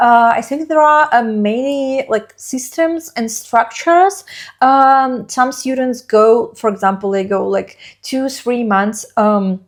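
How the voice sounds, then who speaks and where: A woman's voice: on the high side, slow pace. A young woman co-hosting a casual podcast chat, talkative and giggly, with many hesitant fillers. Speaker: co-host.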